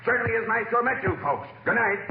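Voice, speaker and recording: A pompous busybody lecturing in 1940s radio comedy style. He rattles along quickly and officiously on a tinny, echoey old recording. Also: very high voice, expressive, heavy background noise. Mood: chatty, self-important. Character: pompous, nosy, officious busybody neighbor man.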